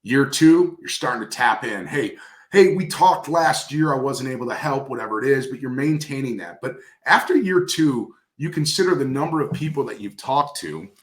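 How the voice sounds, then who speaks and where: A man's voice: mid-range voice, animated, moderate pace. A man speaking conversationally in an industry interview, coaching emphatically and repeating key phrases for stress. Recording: roomy, echoey sound.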